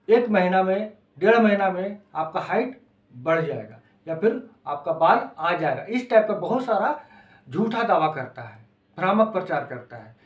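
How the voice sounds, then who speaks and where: A man with a Bihari accent giving an informal lecture as a coaching teacher, explaining in simple words, repeating phrases and checking that students follow. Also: high voice. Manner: repeats phrases and checks understanding with short tags. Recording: echoey, reverberant room.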